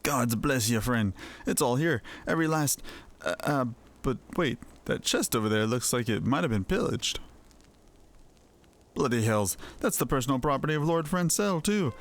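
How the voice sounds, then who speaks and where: A man voicing an excitable, flustered porter in a fantasy game, speaking in exclamations. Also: mid-range voice, moderate pace, natural voice. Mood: relieved, flustered. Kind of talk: voiced reading of fantasy game dialogue. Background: music.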